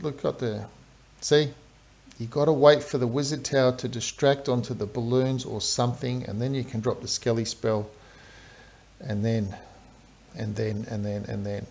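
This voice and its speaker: A man's voice: medium pitch, steady tone, moderate pace, gravelly, a little mellow, slight background noise. A man with an Australian accent, a game streamer chatting through live commentary and explaining strategy step by step. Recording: dull lo-fi stream audio.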